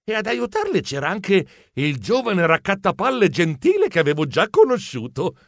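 A man playing an excitable, bumbling, polite mouse journalist who tells his own adventure as theatrical children's storybook narration. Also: high-pitched, normal speed, gravelly, dry recording, studio quality, full character voice. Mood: eager, flustered. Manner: theatrical, expressive, comic exclamations.

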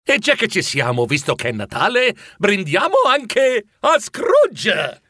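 Storybook father, acted by a male reader: kindly, forgiving, cheerful, ringing high voice, very animated. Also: moderate pace, slightly rough, no room sound, clean studio sound, heavily acted. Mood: festive. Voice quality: ringing, bright tone.

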